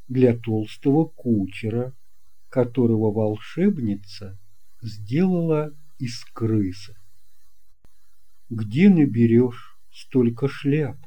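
A children's audiobook narrator with a very soft, dark, mellow tone and a slow, measured pace. The reading is expressive storytelling, with every phrase given room. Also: medium pitch, breathy edge.

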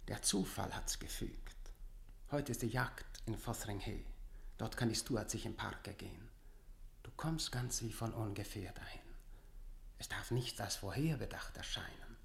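A persuasive courtier in a classical radio play. His low voice crackles heavily with creak as he lays out his scheme in formal verse, in a roomy acoustic. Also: lively intonation, normal speed, fairly bright tone, in a whisper. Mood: coaxing.

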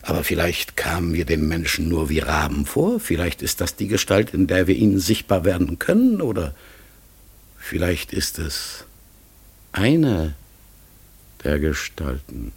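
A mysterious talking raven in a classic radio drama, very low and croakily gravelly. The creature speaks slowly and courteously, feeling for each word with a melancholy, hesitant earnestness, and often sinks into a hoarse whisper. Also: male voice, very animated, heavily acted. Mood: pensive, earnest. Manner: slow, halting, earnest, searching for words. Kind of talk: classic German radio play acting.

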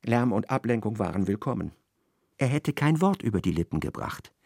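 Casting: male narrator, gravelly, lively melody, dry studio. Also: lowish voice, no room sound. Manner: measured, expressive storytelling. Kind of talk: literary radio narration.

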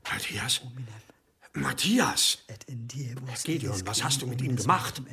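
An actor playing a commanding, secretive abbot in a radio drama, with an authoritative, urgent manner. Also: male voice, mid-range voice, very animated, slow, vocal fry, crowd noise, heavily acted. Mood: alarmed, stern. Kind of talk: German radio drama acting. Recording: studio radio drama with music underneath.

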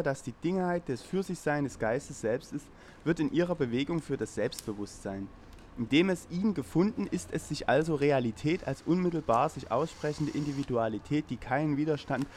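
A man's voice: mid-range voice, darkish tone, slight background noise, natural voice. A man playing a pompous lecturer, reading dense philosophy aloud in a formal, long-winded way. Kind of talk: solemn read-aloud lecture in amateur audio play.

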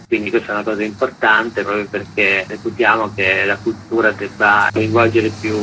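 Bright-voiced man, wordy and intellectual. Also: on the low side, a little hiss or hum, poor audio. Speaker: artistic director being interviewed. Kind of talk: formal interview explanation.

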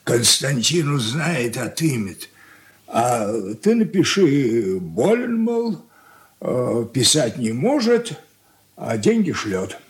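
An older actor playing an ailing, confused old merchant father in a Soviet radio play, speaking in halting, broken phrases. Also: mid-range voice, sing-song. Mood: weary, brooding.

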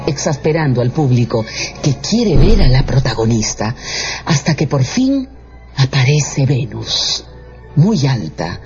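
A man narrating a literary radio drama in a deliberate storytelling manner. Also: mid-range voice. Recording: muffled audio under loud music.

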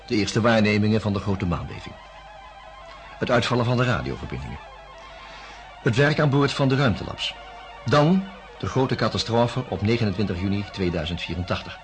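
An actor playing a measured, polite male psychiatrist in a radio drama, speaking deliberately and reflectively. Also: fairly low pitch, animated, faint music behind, lightly acted. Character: measured, open-minded male psychiatrist investigating a case. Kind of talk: radio drama acting and first-person narration.

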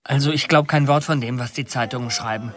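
A man's voice: medium pitch, fairly quick, fairly bright tone, no room sound, close to an everyday voice. Brooding young male ex-convict , played by a man, radio play, dry close sound. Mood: skeptical. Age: young adult. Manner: brooding, uneasy, sulky.

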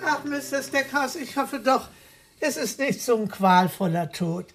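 An actress playing a deferential, sympathetic housekeeper with a polite, solicitous manner in radio play dialogue. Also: female voice, high-pitched, expressive, a little slow, over music.

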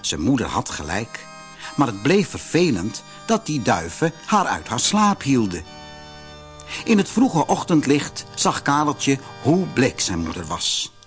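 A man reading a children's fairy tale as scripted narration, in an expressive, measured storybook manner. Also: mid-range voice, faint music behind.

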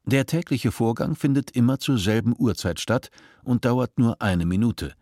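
A man narrating a radio drama in an even, measured storytelling voice. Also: medium pitch, dry recording, studio quality. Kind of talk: scripted narration.

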